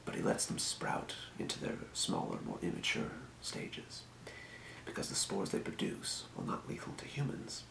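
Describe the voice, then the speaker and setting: Potion merchant male performer, fantasy ASMR: very low gravelly whisper, unhurried, businesslike. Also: wildly swooping pitch, a bit bright, reverberant, slight background noise, full character voice, low-quality recording. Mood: calm explaining. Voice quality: breathy deep whisper. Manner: murmuring, unhurried, muttering to himself. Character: helpful old-world fantasy potion shopkeeper, quietly businesslike. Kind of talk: ASMR role play. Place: small room, indoors at a desk.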